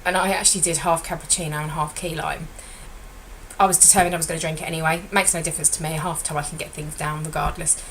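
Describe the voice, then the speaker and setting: A middle-aged woman with a slightly croaky voice, giving a chatty, rambling diet vlog update as a weight loss consultant and often correcting herself. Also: sits low, little pitch movement, fast, fairly bright tone, a little hiss or hum, low-quality recording.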